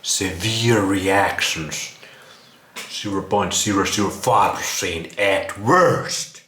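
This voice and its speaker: A man with a Finnish accent talks slowly in a fairly low voice, like a vlogger reacting to things. He is casual, sarcastic and crude, with a mocking manner. The room adds clear echo. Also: pushed and bright. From Finland. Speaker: vlog host. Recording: roomy echo, some background noise.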